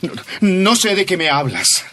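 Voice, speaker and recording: An actor playing a frightened, defensive disciple with an insistent, nervous delivery in a dramatized audio Bible. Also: male voice, high voice, big pitch swings, a little slow, background noise. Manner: stammering, insistent. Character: frightened, defensive male disciple denying accusations.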